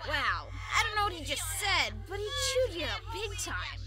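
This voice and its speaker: A woman's voice: very high-pitched, sing-song, normal speed, over loud music. A woman playing a brash, sarcastic pegasus pony in an audio drama, flippant and teasing in manner.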